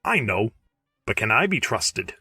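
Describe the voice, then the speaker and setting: A man's voice: fairly low pitch, very animated, a little slow, bright, forceful tone, husky, faint music behind, full character voice. A man playing an agitated, paranoid ancient Roman in an old-time radio comedy, urgent and melodramatic. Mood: suspicious, jittery.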